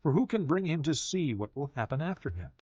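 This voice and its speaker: A man narrating a dramatized scripture audiobook in a solemn, measured manner, with deliberate pauses. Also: a little high, sing-song, darkish tone, no echo. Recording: slightly dull audio with music behind. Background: music.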